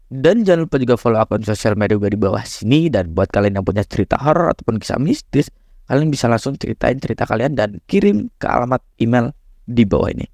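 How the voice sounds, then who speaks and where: Young guy, kinda hoarse and nasal from a cold, laid-back horror storyteller, middle pitch. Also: very animated, quick pace, darkish tone, no echo, clean studio sound. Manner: chatty, addresses viewers as guys. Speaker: horror storyteller, channel host. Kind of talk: casual horror story narration.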